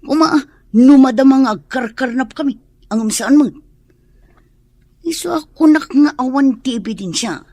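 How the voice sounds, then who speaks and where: An actress with a Filipino accent playing a scheming, vengeful gang criminal in a radio drama, brooding over every word. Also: female voice, high voice, animated, moderate pace, pauses often, a little mellow, slightly rough, no echo, heavily acted.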